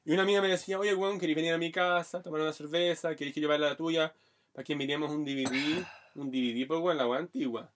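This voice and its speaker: A man from Brazil speaks with a Brazilian accent and a slightly high voice. He co-hosts a casual podcast, telling blunt, candid stories packed with local slang. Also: fairly even intonation, fast, a bit bright. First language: Portuguese. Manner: frank storytelling, heavy Chilean slang. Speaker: podcast co-host. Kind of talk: casual chat.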